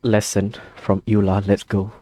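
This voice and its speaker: A man's voice: lowish voice, little pitch movement, unhurried, mellow. A young man giving casual, slangy gameplay commentary, reading dialogue choices aloud as he plays. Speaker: gamer playing and reacting.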